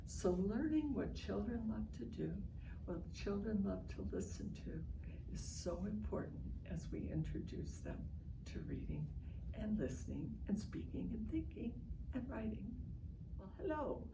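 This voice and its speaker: A woman's voice: mid-range voice, very animated, measured pace, creaky. An older American woman giving an informal, instructive educational talk to families, with emphatic storytelling. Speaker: literacy educator hosting an online session. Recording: echoey, distant mic, noisy online stream.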